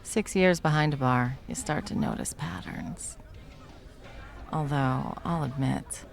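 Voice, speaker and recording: Woman playing flirty protective bartender, ASMR roleplay, slow unhurried pace, swooping intonation, intimate, teasing. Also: sits low, vocal fry, full character voice. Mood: flirtatious. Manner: teasing, unhurried, soft chuckles, dropping toward whispers. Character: confident, flirtatious, protective hot female bartender. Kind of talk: ASMR roleplay, intimate one-sided conversation.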